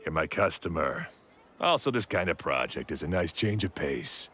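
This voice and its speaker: An actor playing a skeptical, matter-of-fact blacksmith in a video game, heard over game music. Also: male voice, a bass voice, very animated, moderate pace, pushed and bright, heavily acted. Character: male blacksmith in a game, proud of his craft. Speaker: game character. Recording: game audio with background music.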